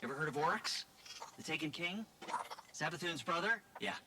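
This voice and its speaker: An actor playing an excitable, eager informant in a video game, chatty and nervy, stammering and repeating himself informally. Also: male voice, fairly high pitch, normal speed, heavy background noise, natural voice. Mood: eager, persuading. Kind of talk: video game character dialogue.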